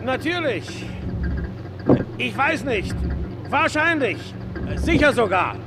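Busy loader, indifferent and clipped: very high-pitched radio play voice over heavy noise. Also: male voice, very animated, takes it slowly, exaggerated voice. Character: busy, curt, indifferent male loader, dutiful. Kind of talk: German radio play acting. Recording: loud vehicle noise behind.

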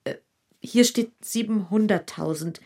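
A woman's voice: medium pitch, a little slow, gravelly, darkish tone. Blunt, curious young woman. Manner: terse, questioning. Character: blunt, curious young housekeeper, cool and flippant. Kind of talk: radio play acting.

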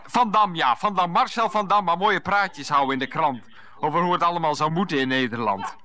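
A man's voice: a little high, lively intonation, a little fast, bright, forceful tone. An actor playing an evasive, put-upon grown son who stammers and grumbles to his mother on the phone in a 1990s radio comedy. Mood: indignant.

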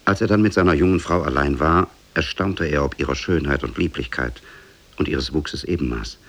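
A man narrates a tale in the manner of 1950s radio. His voice is extremely deep, husky and full of fry. The delivery is measured and solemn. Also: a bit bright. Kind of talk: scripted storybook narration, 1950s German radio.

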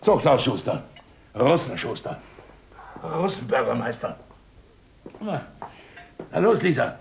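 An actor playing a bitter, domineering village miller who barks orders in a gruff, scornful way. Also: male voice, mid-range voice, sing-song, slow, a little hiss or hum, heavily acted. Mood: bossy, bitter. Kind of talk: radio play acting.

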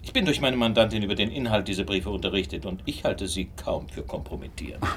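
An actor playing a shrewd, commanding gentleman detective in a radio drama, dry and formally polite. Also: fairly low pitch, quick pace, slight background noise. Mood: measured, reserved.

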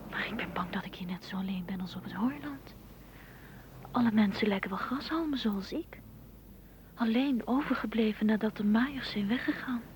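An actress in a radio play voicing a lonely, wistful blade of grass that asks questions in a hushed, breathy whisper. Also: female voice, mid-range voice, normal speed, lightly acted. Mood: anxious, wistful. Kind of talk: whispered radio drama acting. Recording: noisy old radio play recording.

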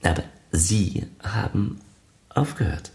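An actor playing an eager, awkward arts foundation official in a radio play, hesitant and earnest. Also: male voice, sits low, slow pace, vocal fry, a little mellow, slight background noise, heavily acted. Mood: earnest, curious. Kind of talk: German radio play acting.

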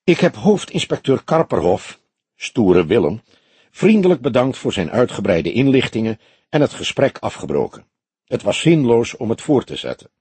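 A man's voice: mid-range voice, big pitch swings, normal speed, a little mellow, breathy edge, no room sound. A man voicing an earnest young male detective, a questioning and slightly wry junior partner in an audiobook.

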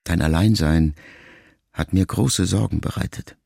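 Deep, gravelly, grieving son. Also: male voice, a little slow, noisy background. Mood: reflective, troubled. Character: grieving ageing son addressing his dying mother, wounded, reflective. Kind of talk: intimate literary radio drama monologue.

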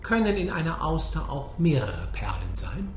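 Polite manservant, echoey room. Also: male voice, fairly high pitch, big pitch swings, normal speed. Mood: pedantic, curious. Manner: formal, deferential. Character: polite, pedantic manservant and amateur classifier. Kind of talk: radio play acting. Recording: echoey room, faint noise.

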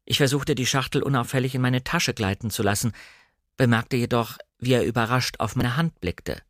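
A man's voice: mid-range voice, moderate pace, no room sound, studio quality. A man narrating an audiobook, reading scripted literary first-person prose in a composed, careful storytelling manner.

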